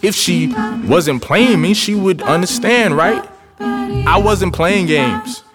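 A man's voice: sits high, very animated, slow, full character voice. A man speaking a radio theater monologue as a young man guarded about falling in love, in an intimate, reflective spoken-word style. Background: loud music.

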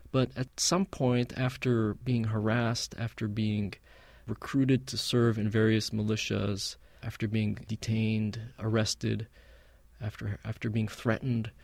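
A man of Palestinian background, a guest in an interview, telling stories in a measured, reflective way with frequent fillers. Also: on the low side, vocal fry, a little mellow, no room sound. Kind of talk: conversational interview.

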